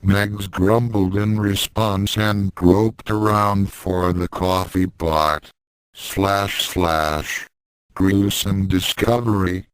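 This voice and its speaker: A man reading fan fiction aloud as a story narrator in a measured, literal manner. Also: sits low, steady tone, clean tone, no echo, low-quality recording.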